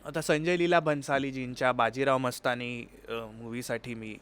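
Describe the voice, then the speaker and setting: A man's voice: medium pitch, fairly even intonation, normal speed, fairly bright tone, smooth. A man, an interview guest explaining his experiences conversationally and mixing in English words. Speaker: interview guest, sitar player.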